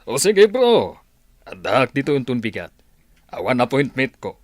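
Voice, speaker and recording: A man's voice: medium pitch, huge pitch swings, a little slow, no room sound. A man acting an earnest, friendly counselor who gives advice in an audio drama. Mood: friendly, assured.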